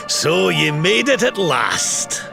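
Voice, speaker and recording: An actor playing a sly, teasing old man in a video game, with a gravelly voice. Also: fairly high pitch, exaggerated voice. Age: older. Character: wise, mischievous old man, grandfather figure.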